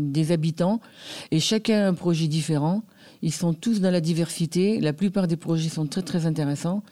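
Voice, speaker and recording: A man's voice: on the high side, mellow. A man answering interview questions about a neighbourhood scheme, hesitating often with fillers. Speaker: local committee member.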